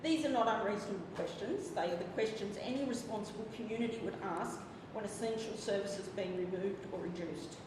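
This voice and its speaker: A woman's voice: mid-range voice, brisk, hardly any pauses. A woman reading a formal, earnest prepared statement to a council, nervous as she speaks. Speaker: member of the public. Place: council chamber. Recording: echoey hall from far off, noisy.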